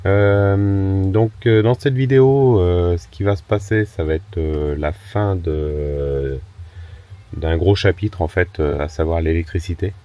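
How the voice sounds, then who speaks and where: A man with a very deep, mellow voice, rough and hoarse from being a little sick. He talks through his DIY van build in a loose, relaxed way, stopping often on hesitant filler sounds. Soft music plays faintly behind him. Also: a little slow, no room sound. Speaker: vanlife vlogger. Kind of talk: casual DIY vlog talk. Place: inside his converted bus.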